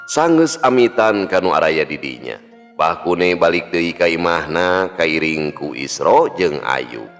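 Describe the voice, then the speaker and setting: A man's voice: deep voice, a bit bright. A man with a Sundanese accent narrating a folk tale in a storyteller's style. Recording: long hall reverb with music. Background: music.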